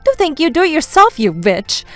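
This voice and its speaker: Woman acting high-pitched, innocent-sounding daughter-in-law. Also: big pitch swings, moderate pace, fairly bright tone, dry recording, faint music behind. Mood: defiant. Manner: exaggerated innocence, polite, plaintive. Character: feigning innocent, polite young daughter-in-law.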